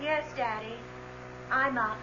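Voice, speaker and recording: An actress playing a despondent, lovelorn young daughter in a 1970s radio drama. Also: female voice, a little high, takes it slowly. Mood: listless, sad. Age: young adult. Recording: dull old radio broadcast with hum.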